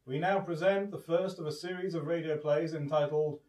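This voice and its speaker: An actor playing a solemn announcer introducing a radio drama in a scripted announcement. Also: male voice, a little high, little pitch movement, normal speed, darkish tone, natural voice. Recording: distant, echoey radio announcement.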